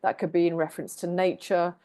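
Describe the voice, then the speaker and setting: A woman with a lowish voice chats reassuringly about the cards, circling back over her own phrases. The sound is dry and close, as on a video call. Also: fairly even intonation, fast, darkish tone, dry recording. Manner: reassuring, chatty, repeats herself. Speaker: tarot reader co-host. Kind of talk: casual spiritual panel chat.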